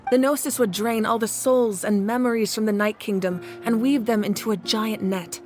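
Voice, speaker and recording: Woman playing a measured, resolute ruler. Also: a little high, fairly even intonation, darkish tone, soft background music, close to an everyday voice. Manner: measured, serious. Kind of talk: game dialogue.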